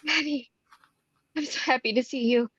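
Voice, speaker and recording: A woman's voice: sits high, normal speed, a bit bright, muffled recording, a little hiss or hum. A woman playing a frightened but defiant young beauty pageant contestant, urgent and emotional, in a screenplay table read. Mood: startled.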